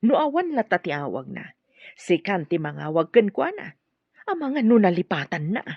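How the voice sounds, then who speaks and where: An actress plays a meddling middle-aged mother in a radio drama with an Ilocano accent. Her husky voice swings widely with scorn, and the recording is slightly dull. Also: on the low side, sing-song, normal speed, no echo, exaggerated voice. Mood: nagging.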